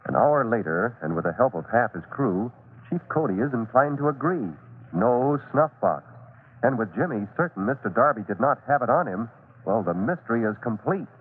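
Male serial announcer, mid-pitched and lively, scripted narration, tinny vintage radio. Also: normal speed, husky.

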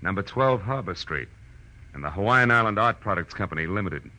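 A man's voice: fairly low pitch, animated, a little fast, very noisy, natural voice. A man with an American accent playing a tough, wry, world-weary private detective in 1940s radio drama style, with clipped delivery. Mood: wry, terse. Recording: muffled old radio recording with music.

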